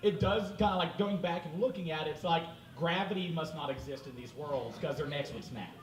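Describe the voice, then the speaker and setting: A man hosting a fan convention panel, joking with co-panelists and the audience, lively and teasing. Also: fairly high pitch, a little fast. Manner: lively, teasing, laughs often. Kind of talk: casual joking panel talk. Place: large convention hall with audience. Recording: echoey hall, far off, crowd noise.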